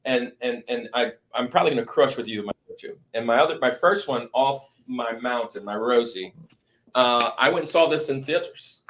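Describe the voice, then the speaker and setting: A man's voice: mid-range voice, a little fast, a bit bright. A man hosting a movie ranking podcast on a video call, chatting casually and repeating phrases for emphasis with bro-style slang. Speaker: podcast host.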